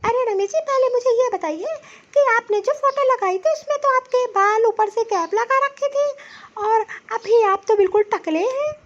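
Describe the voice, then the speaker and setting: A woman's voice: extremely high pitch, moderate pace. A woman playing a vain, fussy young woman looking to marry in a comedy cartoon, with exclamatory, theatrical asides. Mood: indignant, dismayed. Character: vain, fussy marriage-seeking young woman, scornfully muttering. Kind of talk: Hindi comedy cartoon dialogue. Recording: dull, lo-fi cartoon audio.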